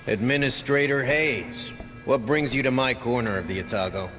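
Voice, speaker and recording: An actor playing a gruff, grudging military quartermaster in video game dialogue, with a folksy manner. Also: male voice, mid-range voice, moderate pace, fairly bright tone, lightly acted. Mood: wry. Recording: long hall reverb, faint music behind.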